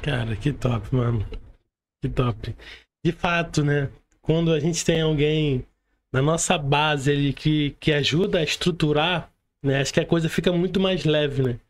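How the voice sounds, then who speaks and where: A man's voice: fairly high pitch, measured pace. A Brazilian man chatting casually as a podcast host, informal and slangy, repeating short phrases. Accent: Brazilian Portuguese. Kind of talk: casual chat.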